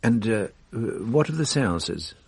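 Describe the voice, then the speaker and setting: An actor playing a shrewd, self-assured French police detective in a radio drama, explaining in a measured, theatrical way. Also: male voice, fairly low pitch, expressive, breathy edge. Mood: musing.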